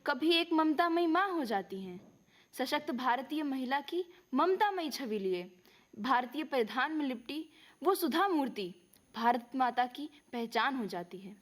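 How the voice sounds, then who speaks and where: A woman with an Indian accent giving a dramatic, declamatory poetry recitation in a deliberate manner. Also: sits high, expressive.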